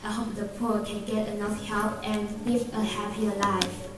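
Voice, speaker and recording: A woman's voice: mid-range voice, little pitch movement, measured pace, noisy background, close to an everyday voice. A woman playing an earnest, grateful character in an amateur radio drama. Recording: very echoey, distant, music behind.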